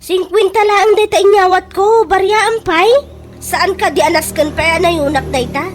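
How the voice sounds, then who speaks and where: Woman acting as very high-voiced impatient young Ilocano daughter. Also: fairly even intonation, moderate pace, faint music behind. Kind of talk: Ilocano radio comedy drama acting.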